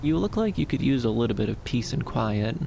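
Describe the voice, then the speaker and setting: Gentle, shy, gravelly-edged young dream wanderer, played by a man. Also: medium pitch, sing-song, brisk, slight background noise. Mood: calm, welcoming. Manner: soft-spoken, hesitant, soothing, with lilting upturns. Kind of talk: ASMR roleplay.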